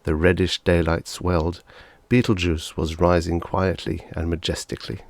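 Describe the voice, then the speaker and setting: A man's voice: low-pitched, level tone, moderate pace, vocal fry, no echo. A man narrating fiction as a scripted audiobook reader.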